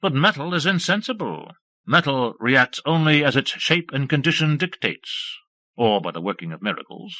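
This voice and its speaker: Man playing cool wizard, measured lecturing tone, lowered voice, dry sound. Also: very animated, pushed and bright, dry recording. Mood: cold. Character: calm, cold male wizard, unshakable and dry.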